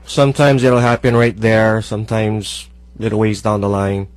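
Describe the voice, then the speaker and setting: A man with a Hawaii accent co-hosting a car radio show, giving calm matter-of-fact advice. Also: lowish voice, moderate pace, dry recording. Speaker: radio co-host.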